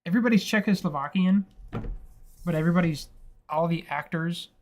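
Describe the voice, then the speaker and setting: A man's voice: a little high, a little fast, slight background noise. A young man co-hosting a casual film podcast, rambling and swearing freely, laughing often. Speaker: podcast co-host.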